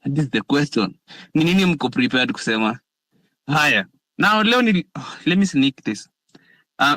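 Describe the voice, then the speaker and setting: Man, casual, animated code-switching, dry close sound. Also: a little high, no room sound, poor audio. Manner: switches between English and Swahili slang, animated. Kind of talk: casual chat in live audio space.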